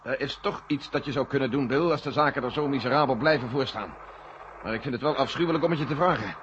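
A man's voice: medium pitch, fast talker, natural voice. A weary, authoritative general played by a male actor speaks in grave, clipped orders. It is old radio drama, muffled and buried in heavy noise. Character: weary, decisive American general at mission control. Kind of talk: 1970 Dutch radio play acting. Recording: dull, very noisy old radio recording.

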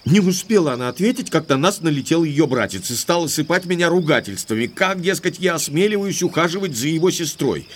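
An actor playing an indignant, lovestruck young English baronet in an audio drama, speaking emphatically like an exasperated gentleman. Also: a little high, expressive, fairly quick, few pauses.

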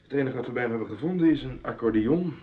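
An actor playing a police sergeant in a radio play, formal, polite and matter-of-fact. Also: male voice, medium pitch, animated, rapid-fire, close to an everyday voice. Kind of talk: Dutch radio play dialogue. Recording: dull lo-fi radio play recording.

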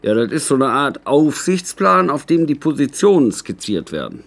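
An actor playing a brusque, exasperated film director in a radio drama, with an impatient, clipped manner. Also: male voice, medium pitch, very animated, moderate pace, vocal fry. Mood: explaining. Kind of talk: naturalistic radio drama acting.

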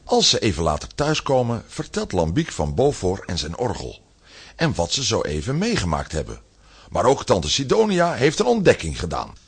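Low-voiced, highly animated male storyteller. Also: moderate pace, husky. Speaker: narrator. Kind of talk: scripted storytelling narration.

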